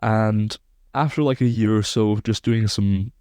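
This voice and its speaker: A man's voice: on the low side, steady tone, unhurried, a little mellow, clear, resonant tone, no echo, clean studio sound. A man in his twenties, raised in Belgium, explaining sports science research in a precise, technical way as a podcast guest. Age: 20s.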